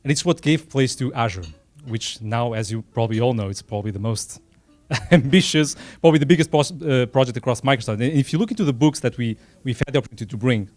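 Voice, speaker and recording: A middle-aged man from Portugal with a Portuguese accent, giving an unscripted, earnest speech at a dinner in a small room, with self-deprecating asides. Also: mid-range voice. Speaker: event sponsor speaker. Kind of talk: informal speech at a dinner event.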